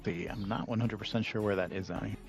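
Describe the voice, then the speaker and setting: A man's voice: fairly low pitch, steady tone, fast talker, creaky, faint music behind. A man chatting casually as a player in a tabletop roleplaying game.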